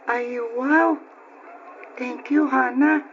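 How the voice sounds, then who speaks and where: A woman's voice: sits high, steady tone, drawn-out words. A woman playing a poor, grateful child in an old Ilocano radio drama. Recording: dull, noisy lo-fi radio recording.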